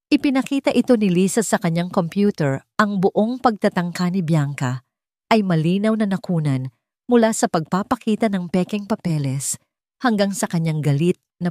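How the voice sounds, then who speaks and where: A Filipino woman narrates a dramatic audio story in a fairly low voice. Her delivery is scripted storytelling with a Filipino accent. The sound is dry, with little room around it. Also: dark tone, no room sound.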